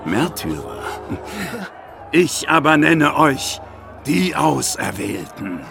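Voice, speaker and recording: A man's voice: fairly high pitch, big pitch swings, slow pace, gravelly, sharp tone, a little hiss or hum, heavily acted. An actor in a radio play voicing a bitter, fervent male preacher who declaims and provokes. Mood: fervent, scornful.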